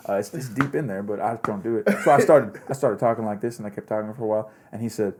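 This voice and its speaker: A man's voice: lowish voice, fast talker, darkish tone, a little hiss or hum. A young man from Texas, with only a faint Texan accent, chatting as a podcast guest and telling stories with many fillers and restarts. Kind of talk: casual chat.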